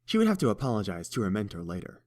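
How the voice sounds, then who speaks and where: Guy reading a storybook aloud, kinda low voice, steady and measured. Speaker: audiobook reader. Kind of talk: storybook narration.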